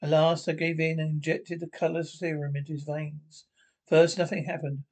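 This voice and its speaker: A man narrating a radio thriller script aloud, reading haltingly and stumbling over the text. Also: on the high side, little pitch movement, a little mellow.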